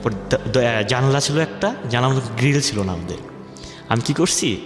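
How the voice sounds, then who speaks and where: A man's voice: mid-range voice, lively intonation, moderate pace. A man with a Bangladeshi accent tells a personal story as a guest, in informal, colloquial speech tinged with dialect. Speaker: guest telling his life story. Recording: echoey long reverb, music bed behind.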